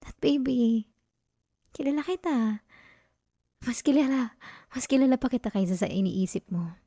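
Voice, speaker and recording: A woman's voice: on the high side, moderate pace, mellow, no room sound, clean studio sound. A Filipino woman playing a possessive, teasing, obsessive girlfriend in an intimate ASMR roleplay, speaking straight to the listener. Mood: sweet, possessive. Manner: intimate, teasing, code-switching into English words.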